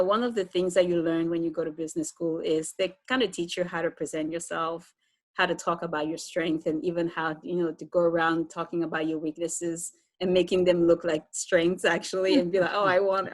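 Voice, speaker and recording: A woman's voice: fairly low pitch, no echo. A woman from Cameroon with a Cameroonian accent, telling her own story conversationally as an interview guest.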